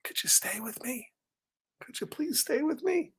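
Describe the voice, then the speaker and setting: A man voicing a sad young child from a picture book, speaking softly and earnestly to a friend. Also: very high voice, expressive, unhurried, breathy edge, whispered, voice pushed up, full character voice. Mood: soft pleading.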